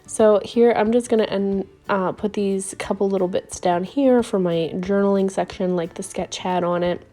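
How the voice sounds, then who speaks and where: A woman hosting a scrapbooking video, talking casually over her crafting with a hoarse voice that is giving out from illness and lots of ums and little laughs. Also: mid-range voice, fairly even intonation, normal speed, mellow, faint music behind. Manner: rambling, chatty, frequent ums and little laughs.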